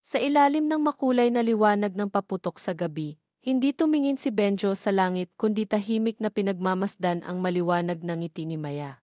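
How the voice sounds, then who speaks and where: Female narrator for scripted romance audio stories, medium pitch, close dry recording. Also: brisk, warm tone, dry recording.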